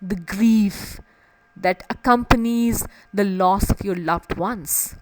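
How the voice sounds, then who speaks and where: A woman's voice: mid-range voice, slow pace, background noise. A woman literature teacher explaining a play in an emphatic way, often repeating and rephrasing phrases to stress them.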